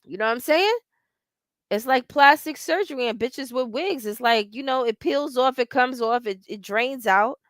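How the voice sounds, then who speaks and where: A woman's voice: high-pitched, normal speed, a bit bright, no echo, studio quality. A woman in her forties hosting a casual livestream, talking in a blunt, profane and rambling way and reading out viewer comments. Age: 40s. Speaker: livestream host.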